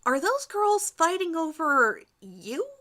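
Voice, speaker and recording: A woman's voice: very high-pitched, sing-song, takes it slowly, a bit bright, pitched above the performer's own voice, heavily acted. A woman voicing a catty teenage mean girl who needles and challenges with venom in a story reading. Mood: sly, probing.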